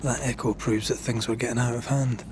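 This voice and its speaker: Game role: male actor as analytical ghost hunter, average pitch, matter-of-fact, pensive. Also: fairly even intonation, fairly quick, background noise, close to an everyday voice. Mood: pensive, wary. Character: sober, analytical ghost-hunting investigator. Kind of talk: video game dialogue.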